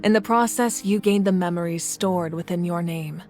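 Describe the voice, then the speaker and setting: A woman playing a composed warrior goddess who leads her nation in a video game, speaking in a measured, formal way. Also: on the low side, music in the background, natural voice. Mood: resolute. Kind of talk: scripted video game dialogue.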